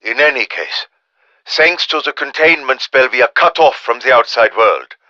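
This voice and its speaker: An earnest scholar of the occult for a video game. His voice swings widely in pitch and has a forceful, bright edge. He speaks in formal, precise phrases, recorded close and dry. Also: expressive, normal speed, no room sound, highs cut off. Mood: urgent, resolute. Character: earnest, erudite German professor of demonology, embattled ally. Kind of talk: dramatic game voice acting, radio briefing monologue.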